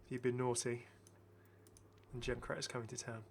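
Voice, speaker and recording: A man's voice: medium pitch, flat delivery, fairly quick, creaky, a little hiss or hum. A man giving casual, enthusiastic game commentary as a chatty, rambling video host.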